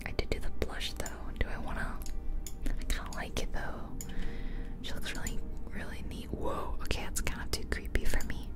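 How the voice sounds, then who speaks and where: A woman whispering close to the microphone in an ASMR style, casually musing aloud as she comments on a game. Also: moderate pace. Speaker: ASMR video creator. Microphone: close ear-to-ear ASMR microphone.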